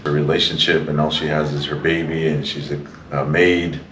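A man's voice: a bass voice, level tone, moderate pace. A man from New Mexico giving reflective, conversational answers as a performer in an interview, recorded at a distance. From New Mexico, United States. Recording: roomy, distant mic, clear background noise.

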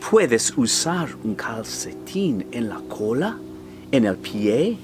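A middle-aged man reading a children's picture book aloud outdoors in a slow, animated, theatrical way. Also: mid-range voice. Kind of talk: expressive children's read-aloud in character voices. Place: outdoors in a fenced backyard. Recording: outdoor ambient noise.

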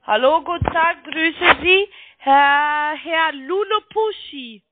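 A high-pitched woman with a foreign accent makes a telephone sales pitch as a non-native speaker. She sounds congested with a cold. Her voice comes over a thin phone line. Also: slow pace, no echo. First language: not German. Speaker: call center trading sales caller. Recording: muffled band-limited phone line.

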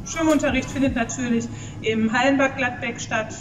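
A woman's voice: high-pitched, steady tone, moderate pace, background noise. A woman sports teacher lists places matter-of-factly. She is far from the mic in an echoing gym with a long tail of reverb. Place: large indoor sports hall.

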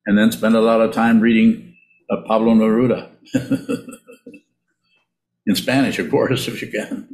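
An older man, a Buddhist teacher, giving an informal, reflective talk in a halting way with repeated words over a video call. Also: fairly low pitch, fairly even intonation, a little mellow. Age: older, around 70s. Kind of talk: informal dharma talk and answering questions. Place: small indoor room, on a video call. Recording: roomy, echoey video call.

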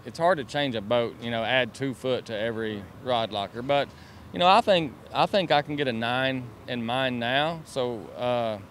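A man's voice: medium pitch, normal speed, fairly bright tone. A man answers interview questions outdoors in a hesitant, thinking-aloud manner. He speaks into a handheld mic. A faint outdoor hum runs underneath. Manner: hesitant, musing. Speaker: professional angler. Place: outdoors by boats. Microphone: handheld mic held by interviewer.

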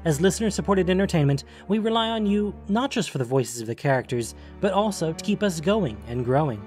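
A man's voice: high voice, lively intonation, a little fast, darkish tone, faint music behind. A man reading scripted narration for a fantasy audio drama. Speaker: narrator and podcast host.

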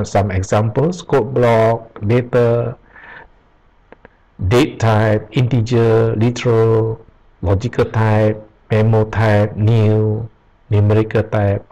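A man teaching programming over a screen recording, reading aloud slowly with pauses and restarts. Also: fairly low pitch, warm tone, poor audio. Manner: slow, halting, reads aloud with pauses and restarts. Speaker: programming tutor. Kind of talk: screen-recorded tutorial reading from web pages. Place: at a computer, voice-over on screen capture.

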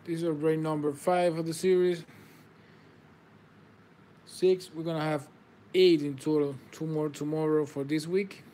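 A man's voice: a little high, fairly even intonation, unhurried, pauses often, warm tone. A man, whose first language is Spanish, hosts a live trading card break, calling out players and congratulating viewers in slightly non-native phrasing. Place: indoor table with card boxes.

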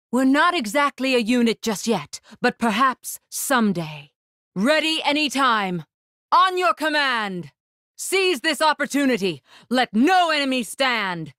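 A woman voicing a proud, composed, regal warrior spirit in a video game, with formal, commanding, measured diction. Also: sits high, sharp tone, dry recording, studio quality, full character voice. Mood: resolute, commanding. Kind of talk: video game battle lines.

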